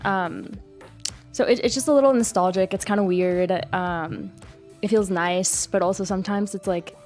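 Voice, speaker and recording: Young American female student, mid-pitched, giggly and halting, light background music. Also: darkish tone. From Queens, New York City, USA. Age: young adult. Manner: hesitant, giggly, filler-heavy. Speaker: exchange student guest. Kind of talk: casual chat.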